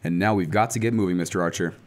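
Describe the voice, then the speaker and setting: A man's voice: sits low, fast talker, close to an everyday voice. An actor playing a confident, kindly heroic man in an old-time radio serial. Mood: brisk.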